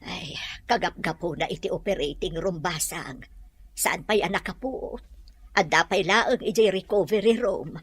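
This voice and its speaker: A woman playing a weary, concerned older village mother with a husky voice in an Ilocano audio drama. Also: fairly low pitch, unhurried, faint music behind.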